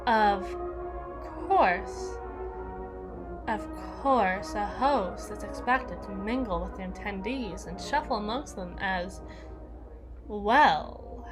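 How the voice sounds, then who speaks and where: A woman playing a jealous, menacing evil queen in an ASMR roleplay, regal and possessive, with theatrical, deliberate formal diction. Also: medium pitch, over music, close to an everyday voice, low-quality recording. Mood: icy politeness.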